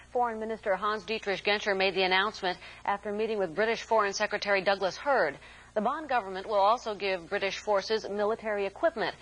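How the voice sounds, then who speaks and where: An American woman working as a news anchor, reading scripted news in a formal, measured announcer delivery. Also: medium pitch, a bit bright, poor audio. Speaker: military network news anchor.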